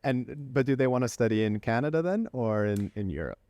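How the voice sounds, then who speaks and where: A man hosting a casual conversational interview, thinking aloud in a halting way. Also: medium pitch, expressive, darkish tone, no echo. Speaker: podcast host.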